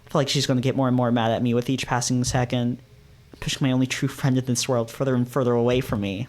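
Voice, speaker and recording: A man's voice: medium pitch, flat delivery, quick pace, darkish tone, lightly acted. Man playing young male fox, anxious, self-loathing, agitated, melodramatic, visual novel reading. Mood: panicked, self-loathing. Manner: agitated, overwrought, self-deprecating.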